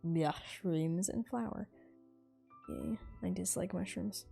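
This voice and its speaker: A woman doing casual gameplay commentary, with slangy, playful, deadpan asides. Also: lowish voice, slow pace, vocal fry, music in the background. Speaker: game streamer.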